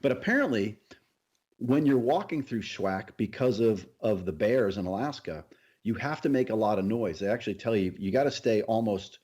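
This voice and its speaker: A man's voice: lowish voice, expressive, normal speed, a little mellow, slightly rough. A middle-aged man co-hosting a podcast, telling stories in casual conversation. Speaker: podcast co-host. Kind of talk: casual chat, storytelling.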